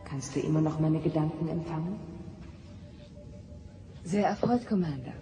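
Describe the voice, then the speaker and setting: An actress playing a sensitive, half-alien ship's counselor in a sci-fi audio drama, earnest and deliberate. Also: female voice, low voice, over music, natural voice. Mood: gentle.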